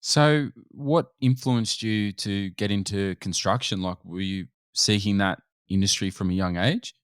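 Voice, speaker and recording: A man's voice: on the low side, a little slow, clean tone, dry recording, studio quality. An Australian man hosting an interview podcast. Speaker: podcast host.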